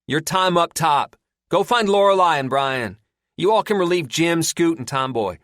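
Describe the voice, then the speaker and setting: A man's voice: fairly high pitch, lively intonation, normal speed, fairly bright tone, no room sound, clean studio sound, pitched above the performer's own voice. A man voicing a thin, wiry male group leader who gives brusque orders. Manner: brusque, commanding.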